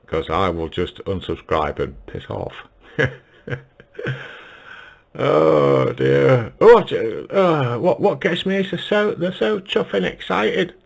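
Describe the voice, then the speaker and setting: A man with a northern English accent in casual radio chat. His voice sits very low and is full of gravelly creak. He takes his time, with a blunt, grumbling, dry-humoured way of talking. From United Kingdom. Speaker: amateur radio operator.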